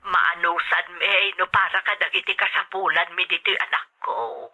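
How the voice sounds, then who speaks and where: A woman's voice: lowish voice, fairly even intonation, normal speed, bright, forceful tone. A woman with a Filipino accent playing a worried, loving older mother in a radio drama, plaintive and fretful.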